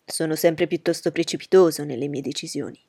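A woman voicing a cheerful, impulsive young gentleman, speaking in a slightly lower, emphatic way, with a gravelly, husky voice. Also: a little fast, dry recording, studio quality. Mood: eager. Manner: eager, emphatic.

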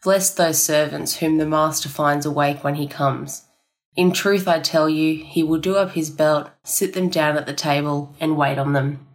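A woman with a notably low voice reads a passage of scripture. Her delivery is formal and measured, with the solemn style of a reading for worship. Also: fairly even intonation, poor audio. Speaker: scripture reader.

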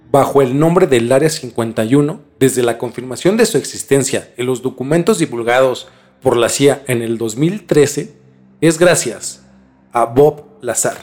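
A man's voice: mid-range voice, darkish tone. A man hosting a mystery podcast in a conversational presenting style. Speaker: podcast host.

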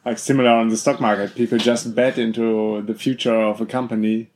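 A man's voice: fairly low pitch, level tone, darkish tone, slight background noise. A man hosting a casual podcast interview, friendly and encouraging toward his guests. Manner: friendly, encouraging, quick to agree. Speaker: podcast host.

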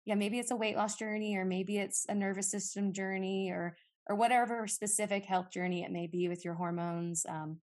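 A woman's voice: medium pitch, little pitch movement, normal speed, darkish tone. A woman co-hosting a podcast, chatting in a rambling, personal way with lots of fillers. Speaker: podcast co-host. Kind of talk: casual podcast chat.

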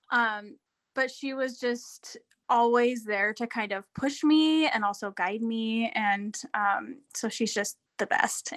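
Woman with a higher voice, friendly casual moderator. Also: a bit bright. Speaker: discussion moderator. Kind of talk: casual group chat on social audio.

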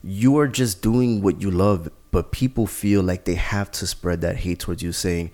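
A man with a very deep voice asks questions in an interview. He speaks slowly and carefully and keeps a thoughtful tone. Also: a little mellow. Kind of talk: casual interview.

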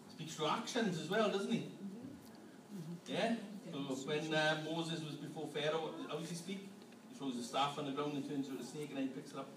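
A man's voice: on the high side, recorded from a distance. A man leading an interactive family sermon in a church hall, playfully quizzing the congregation. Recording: echoey hall, mic from afar, room noise.

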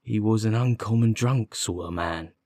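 A man's voice: on the low side, normal speed, a little mellow, no echo. A man voicing a defensive, dim working-class police constable with colloquial, ungrammatical speech in an audiobook. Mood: aggrieved. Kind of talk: audiobook character dialogue.

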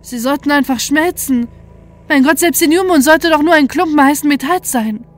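A woman's voice: high-pitched, moderate pace, natural voice. A woman playing a defiant, desperate scientist who narrates a horror story in an intense, dramatic way. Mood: disbelieving.

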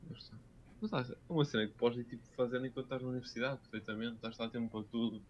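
A dull, lo-fi recording of a young adult man with a European Portuguese accent. He speaks extremely fast at an average male pitch. His delivery is casual podcast chat, informal and full of slang. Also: steady tone, a little hiss or hum. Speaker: podcast co-host. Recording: dull, lo-fi sound.

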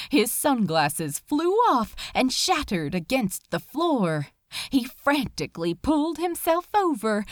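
A woman's voice: on the high side, dry recording, studio quality. A woman reading a story aloud as a dramatic, emotive narrator with a sense of urgency. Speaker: fan fiction reader.

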